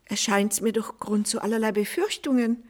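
A woman playing a refined, concerned lady of a period salon in a German radio play, speaking in a formal, measured, old-fashioned manner. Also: on the high side, steady tone, a little mellow, natural voice. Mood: politely concerned.

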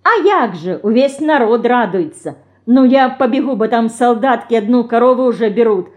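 A woman voicing an excited, gossipy peasant girl from a Ukrainian village, with a Ukrainian accent, in a storybook reading. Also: fairly high pitch, moderate pace, voice pushed up, natural voice.

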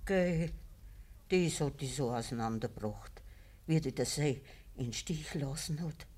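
An anxious, pious Bavarian peasant mother in a radio drama. Her voice is husky and lowish, and she speaks very slowly, ailing and gently pleading. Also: lively intonation, heavily acted. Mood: gentle pleading. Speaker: actor in a radio play. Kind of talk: German radio drama acting.

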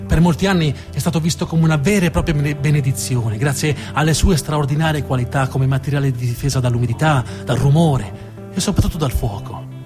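A man performing dramatic scripted narration as a theatre storyteller, with a deliberate, emphatic delivery and dramatic pauses. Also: a little high, music in the background.

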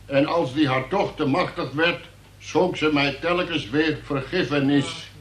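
An actor playing a dutiful police sergeant who formally and haltingly reads out a report in a radio play. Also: male voice, a little high, a bit bright. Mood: officious. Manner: formal, officious, halting delivery of official report. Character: dutiful police sergeant reading out a suspect's confession. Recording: roomy, echoing radio play recording.

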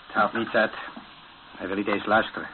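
An actor playing an agitated, insistent European captive in a radio drama, terse and urgent. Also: male voice, on the low side, little pitch movement, fairly quick, lightly acted. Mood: insistent, frustrated. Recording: muffled, very noisy radio recording.

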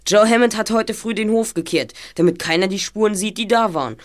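A woman playing a methodical boy detective who leads his trio in an audio drama, explaining deliberately and decisively. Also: mid-range voice, close to an everyday voice. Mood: sure, deducing. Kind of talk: amateur audio drama acting.

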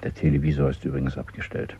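An actor playing a calm, commanding, inscrutable party man in a radio drama, gravelly and terse, with a measured, probing delivery. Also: rumbling low, heavily acted. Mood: controlled, probing. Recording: narrow, muffled old radio drama recording.

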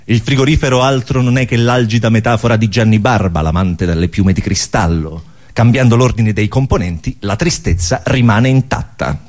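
A man performing scripted absurdist theatre with a mock-solemn, deliberate, declamatory delivery. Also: on the low side, a little mellow. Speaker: stage performer.

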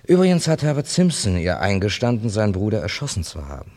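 Deep-voiced, measured writer in his thirties. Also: huge pitch swings, darkish tone. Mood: sober reporting. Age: 30s. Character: composed, discreet male writer, thirty-six, narrator and confidant. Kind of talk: 1970s German radio crime drama acting.

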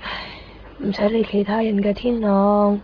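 A woman's voice: medium pitch, little pitch movement, a little slow, a little hiss or hum, lightly acted. A woman acting a blunt, wistful, matter-of-fact teenage schoolgirl in a Cantonese radio drama, sighing, with a Hong Kong accent. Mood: resigned, knowing. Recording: dull lo-fi radio recording.

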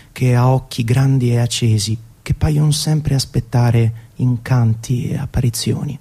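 A man's voice: medium pitch, dark tone, breathy edge. A man telling a scripted story as the host and narrator of a radio programme.

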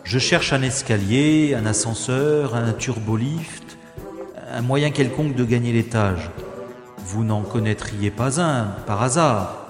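Guy acting as dry witty gallant adventurer in a radio play, medium pitch, really echoey. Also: slow, lightly acted. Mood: puzzled, searching. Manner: wry, formal phrasing, thinking aloud. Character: wry, gallant male adventurer rescuing a young woman. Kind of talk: French-language audio drama acting with first-person narration. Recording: echoey long reverb, music behind.

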